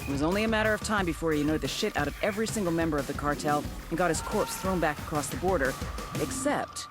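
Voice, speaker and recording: A woman's voice: deep voice, fast, music in the background, close to an everyday voice. A woman playing a blunt, wry intelligence handler delivering a scripted mission briefing in a game. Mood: sardonic. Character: brisk, sardonic female intelligence handler briefing operatives.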